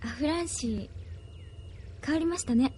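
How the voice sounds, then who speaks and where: A woman's voice: sits high, moderate pace, warm tone, slight background noise, natural voice. Woman acting a frank, composed young anime comrade. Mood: quiet, admiring. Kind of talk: Japanese anime audio drama acting.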